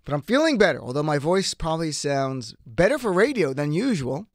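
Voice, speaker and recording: A male radio host talks through his political show in a chatty, self-deprecating way. His voice is hoarse from a cold but still sits fairly high. He is close on a dry studio mic. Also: sing-song, a little fast, no echo. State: hoarse, getting over a cold. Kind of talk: political talk radio monologue. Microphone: studio microphone.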